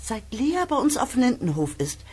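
A woman's voice: fairly high pitch, big pitch swings, moderate pace, a little mellow, breathy edge. An actress playing a gossipy, secretive schoolgirl in a radio play, dramatic and insistent, eager and easily offended. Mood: urgent, dramatic. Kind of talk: German radio play acting for young listeners.

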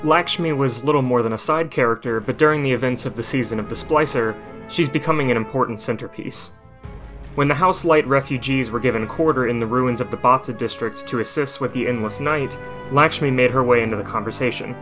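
A man's voice: medium pitch, fairly quick, a bit bright. A man narrates game lore as a video creator, in a mid-range voice. The delivery is scripted commentary, with music playing underneath.

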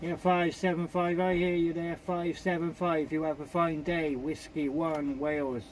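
Male CB operator, slightly high voice, courteous call-sign patter, clear background hiss. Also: level tone, unhurried, poor audio. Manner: polite, procedural, repeats call signs. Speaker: amateur CB radio operator. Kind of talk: radio contact chat with call signs.

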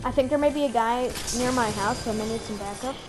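A woman giving casual gameplay commentary as a host, in an excitable, giggly manner. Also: on the high side, fast talker, loud music behind the voice. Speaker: host, gaming YouTuber.

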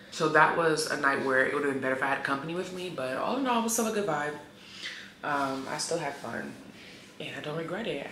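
A woman in her thirties talks straight to her viewers in a casual travel vlog, slangy and animated, breaking into loud laughs. Her voice sits fairly low, and it bounces around an echoey hotel room with a faint hum underneath. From Haitian heritage. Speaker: travel vlogger. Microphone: camera on tripod, some handheld selfie shots.

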